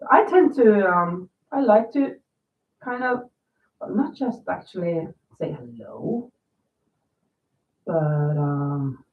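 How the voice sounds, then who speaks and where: A woman, chatting in a rambling way on a livestream, a little nasal and raspy from a cold. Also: fairly low pitch, big pitch swings, slow pace, pauses often. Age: 50s. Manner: chatty, rambling, reads viewer comments aloud. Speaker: crochet livestream host. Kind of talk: casual livestream chat. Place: home. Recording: distant, echoey, phone-like sound.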